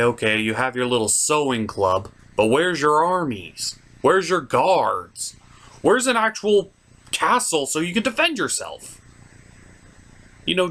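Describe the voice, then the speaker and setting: A man's voice: on the high side, fairly bright tone, slight background noise. A man narrating a scripted comedic review as host, in a sarcastic, theatrical way.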